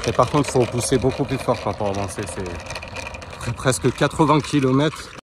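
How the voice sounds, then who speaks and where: A man's voice: mid-range voice, normal speed. A man, a coach and nutritionist, vlogging casually to his viewers while riding a kick scooter through city parks and streets, talking straight to camera with plenty of fillers. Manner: chatty, addresses viewers directly, fillers and asides. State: strained from exertion. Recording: noisy outdoor street sound. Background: outdoor city ambience.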